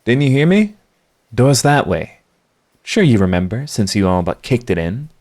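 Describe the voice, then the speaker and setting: A man acting a sardonic supervillain secretly devoted to his rival, in an intimate, theatrical audio roleplay monologue with halting confessions. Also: medium pitch, very animated, darkish tone, close to an everyday voice. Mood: haughty, dismissive.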